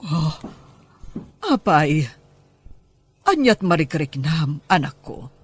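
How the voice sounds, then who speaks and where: A woman's voice: deep voice, sing-song, slow pace, a little hiss or hum, exaggerated voice. A woman playing a caring, coaxing mother of a grown son in an Ilocano drama podcast, speaking with an Ilocano accent. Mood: worried.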